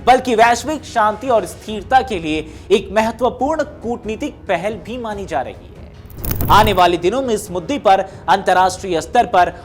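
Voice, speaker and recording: A woman reading scripted news narration in a formal, measured newsreader delivery. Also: mid-range voice, expressive. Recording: music behind the voice. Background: background music.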